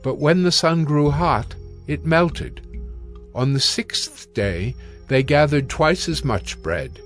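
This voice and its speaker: A man narrating scripture in a solemn, measured, scripted dramatic style. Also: fairly high pitch, expressive, soft background music.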